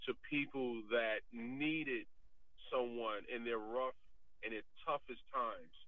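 A man's voice: medium pitch, slow, no echo. A man from New Jersey, a sports league co-CEO, earnestly telling his life story over the phone, with many filler phrases. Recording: tinny phone line.

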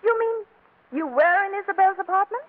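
High-voiced, proud wronged radio wife. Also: female voice, brisk. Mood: outraged. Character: irate, wounded wife, cool and proud. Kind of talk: 1940s radio comedy acting. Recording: muffled old radio broadcast, narrow band.

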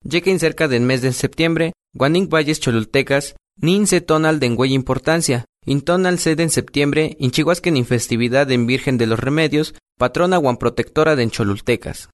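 A male radio presenter whose first language is Nahuatl, reading an announcement in a steady, informative way. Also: fairly high pitch, moderate pace, hardly any pauses. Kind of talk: read announcement.

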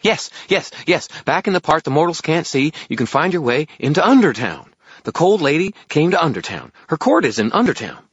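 Excitable, high-pitched tiny fairy. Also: male voice, brisk, husky, dry recording, dull audio, heavily acted. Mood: impatient. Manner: shrill, exclamatory, impetuous. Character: excitable tiny male fairy, impatient and pizza-obsessed. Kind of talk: audiobook character acting.

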